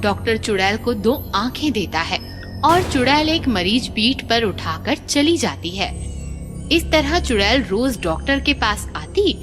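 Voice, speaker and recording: Female storyteller for animated horror: fairly high, lively intonation, scripted dramatic narration over loud music. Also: unhurried.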